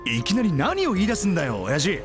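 A man's voice: high-pitched, huge pitch swings, fast. An actor playing a young male starship captain in a game, talking in a casual, direct way. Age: young adult. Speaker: ship captain, young company ace. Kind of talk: Japanese game dub acting. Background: game music.

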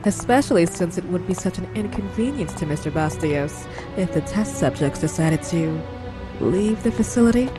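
An actress playing a calm, knowing assistant who speaks quietly. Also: female voice, fairly low pitch, normal speed, over music, close to an everyday voice, low-quality recording.

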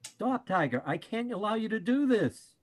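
A man's voice: high-pitched, very animated, normal speed. An older man playing a patient panda bear peacemaker in a dramatic play reading, earnest and imploring. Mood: firm protest. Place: video call.

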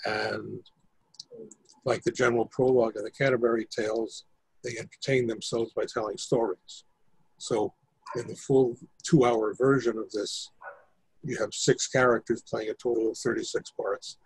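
An older man, a playwright explaining his work in halting, precise, measured phrases on a video call. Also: lowish voice, steady tone, gravelly, a little mellow, slightly rough, rough recording. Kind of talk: considered explanation in discussion.

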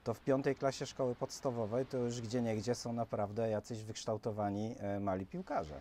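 A man's voice: medium pitch, steady tone, moderate pace, warm tone. A man interviewing casually outdoors, hesitantly piecing his questions together. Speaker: sports interviewer. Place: outdoors on a beach, off camera. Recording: noticeable outdoor ambient noise.

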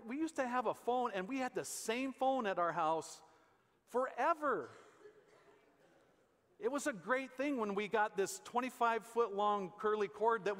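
A man in his fifties with an American accent and a high-pitched voice preaches in a conversational, emphatic way, repeating phrases for weight. He is a pastor on stage, and the long reverb of a large church hall surrounds his words. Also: a bit bright. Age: 50s. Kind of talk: conversational sermon.

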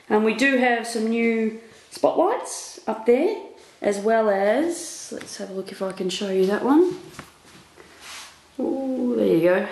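A woman's voice: medium pitch, darkish tone, a little hiss or hum. Chatty woman in echoey rooms. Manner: chatty, upbeat, full of fillers. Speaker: property investor renovating a house. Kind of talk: walkthrough vlog narration. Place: empty house under renovation, indoors.

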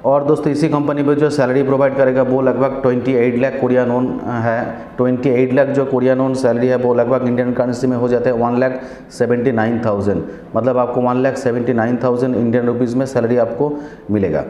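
A man in his forties, a channel host, explaining job openings to camera in a steady, repetitive way, with many fillers and restarts, on a lapel mic in a small office. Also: mid-range voice, fairly quick, muffled recording. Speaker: visa agent and channel host. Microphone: clip-on lapel mic. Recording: echoey office with faint room noise.

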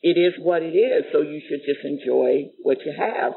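A woman's voice: low-pitched, animated, moderate pace, creaky, a little hiss or hum. A woman hosting a podcast, talking conversationally with her guest. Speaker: podcast co-host. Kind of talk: conversational interviewing. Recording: narrow, phone-like sound.

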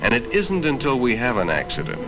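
A man's voice: medium pitch, huge pitch swings, fairly quick. An American man narrating a 1960s educational film from a script in a stern, lecturing, condescending manner. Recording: dull vintage film soundtrack with music.

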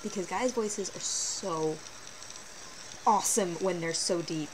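A teenage girl vlogging from her bedroom, talking casually and animatedly with sarcastic asides in a fairly low voice for a girl. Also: slow. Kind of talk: casual webcam vlog. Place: small bedroom, indoors. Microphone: built-in webcam mic. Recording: noisy low-quality webcam recording.

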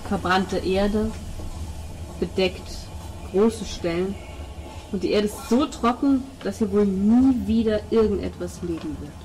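A woman's voice: medium pitch, unhurried, darkish tone, a little hiss or hum, poor audio. A woman improvising narration as game master of a tabletop role-playing game.

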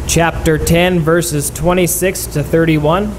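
A man preaching a sermon in a church, pausing in short phrases for an interpreter. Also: fairly high pitch, fairly even intonation, a little hiss or hum, low-quality recording. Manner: slow, halting, pausing for translation. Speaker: guest preacher. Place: church sanctuary.

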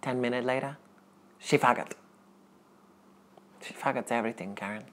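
A man's voice: normal speed, a bit bright, close to an everyday voice. An actor playing a naive young Colombian man in an apartment kitchen, speaking earnest broken English with a Colombian Spanish accent. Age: young adult. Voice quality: earnest, broken English, sudden pitch jumps. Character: naive male Colombian teacher with strong accent. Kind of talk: deadpan comedy sketch acting.

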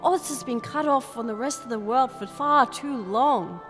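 A woman's voice: high-pitched, normal speed, in a large hall, music in the background, lightly acted. A woman playing a young, optimistic, headstrong fairy princess in an audio drama, breezy and determined.

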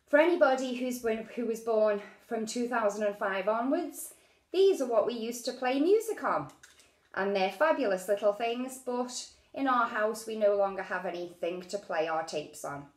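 A woman's voice: on the high side, lively intonation. A middle-aged British woman casually presenting a hands-on craft demonstration, talking as she works with her hands. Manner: unhurried, talking while working with her hands. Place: indoors at a dining-room table at home. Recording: echoey domestic room.

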